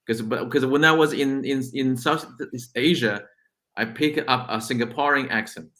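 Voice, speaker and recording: A man's voice: mid-range voice, moderate pace, bright, forceful tone. A man, a guest who runs a shipping company, explaining things step by step in a casual interview with repeated words and fillers. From China. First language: Chinese.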